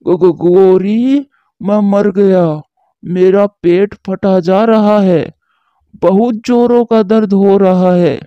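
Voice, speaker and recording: A man's voice: high-pitched, steady tone, no echo, muffled recording. A man playing Kalu, a scheming crow villain. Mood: wailing in pain. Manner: menacing, slow threats over the phone. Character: scheming male crow villain, threatening bully.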